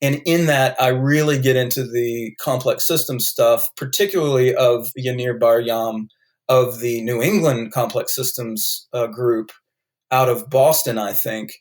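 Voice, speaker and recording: A man's voice: medium pitch, steady tone, unhurried. A man with a North Carolina accent, a guest author explaining his ideas in a podcast interview, thoughtful and full of restarts.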